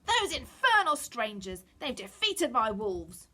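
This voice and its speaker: A woman's voice: medium pitch, very animated, normal speed, sharp tone. A woman voicing a vicious one-eyed wicked old witch from a storybook, screeching her commands. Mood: furious.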